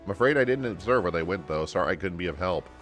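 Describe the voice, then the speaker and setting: A man's voice: low-pitched, lively intonation, fast, fairly bright tone, soft background music. A man voicing a helpful, concerned townsperson in a fantasy video game. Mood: apologetic.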